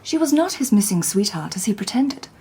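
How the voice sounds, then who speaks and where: An actress playing a composed, precise Victorian lady detective with a reserved, breathy manner. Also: mid-range voice, big pitch swings, brisk, dark tone, heavily acted. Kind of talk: radio drama acting.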